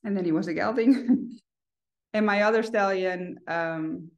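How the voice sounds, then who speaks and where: A woman's voice: lowish voice, a little slow. A woman, chatting in a friendly, casual way as a guest on a video call from home. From Netherlands. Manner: friendly, chatty, with fillers and restarts. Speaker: guest, horse owner. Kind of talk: casual interview chat. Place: home room.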